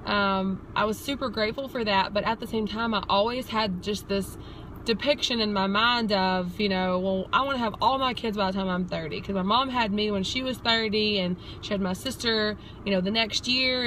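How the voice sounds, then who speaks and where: Woman, late 20s, medium pitch, casual and thoughtful vlogger style, recorded in a car with faint background noise. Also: a little fast, poor audio. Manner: earnest, reflective. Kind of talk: casual personal vlog monologue. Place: inside a parked car. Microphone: phone or camera at arm's length. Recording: clear steady car ambience noise.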